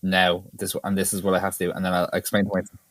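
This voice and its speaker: A man from Ireland, a guest on a film commentary podcast, dropping dry deadpan quips. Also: low-pitched, quick pace, no room sound. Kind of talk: casual film commentary chat.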